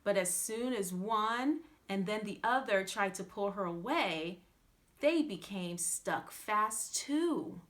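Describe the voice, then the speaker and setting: A woman narrates a classic fairytale for children. Her pace is very slow and her intonation lively and varied, with theatrical stress on drawn-out words. Her pitch sits in a middle range. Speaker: storyteller.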